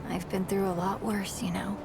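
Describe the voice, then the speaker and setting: Casting: actress for stubborn, worn-out young fighter in a game; dismissive, naturalistic delivery, mid-range voice, prominent score underneath. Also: female voice, mid-range voice, flat delivery, normal speed, creaky, close to an everyday voice. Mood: tired, exasperated. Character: weary, tough, stubborn young female survivor fighter. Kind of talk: naturalistic video game dialogue acting. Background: loud music.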